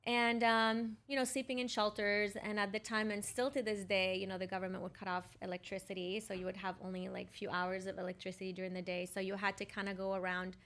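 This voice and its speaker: A woman's voice: medium pitch, flat delivery, brisk, hardly any pauses, a bit bright. A middle-aged woman from Lebanon telling her personal story conversationally as a podcast guest. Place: podcast studio.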